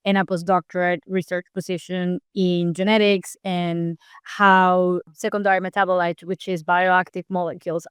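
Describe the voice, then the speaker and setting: A woman from Peru speaks with a very clean, smooth voice, recorded dry and close with no room sound. She is a scientist answering interview questions, explaining at length with occasional restarts. Her delivery stays earnest and steady. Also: on the low side, no echo. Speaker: scientist guest.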